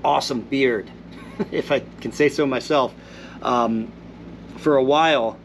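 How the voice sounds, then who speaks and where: Host, male, mid-pitched, a bit phlegmy, relaxed Q&A delivery, faint hum behind. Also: a little slow. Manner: reads viewer comments aloud and replies in an easygoing, thoughtful way. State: congested after illness. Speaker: host answering viewer questions. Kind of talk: casual Q&A, reading out comments.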